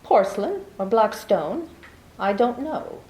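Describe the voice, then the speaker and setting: A woman's voice: medium pitch, expressive, takes it slowly, darkish tone. A woman playing a beguiling, deceitful young woman in trouble in a 1940s-style radio play, coy and pleading. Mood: evasive. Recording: echoey room.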